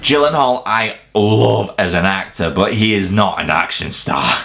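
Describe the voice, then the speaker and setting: A man's voice: on the low side, a little slow, gravelly, a bit bright, faint music behind. A man hosting a scripted countdown review, with casual swearing and mock-incredulous asides. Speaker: video reviewer and host.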